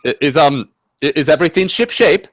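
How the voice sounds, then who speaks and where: An actor playing a nervously polite, incredulous gentleman guest in a radio play, stammering and deferential. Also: fairly high pitch, lively intonation, fairly quick, slight background noise, natural voice. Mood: nervous, puzzled. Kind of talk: radio play acting with narration.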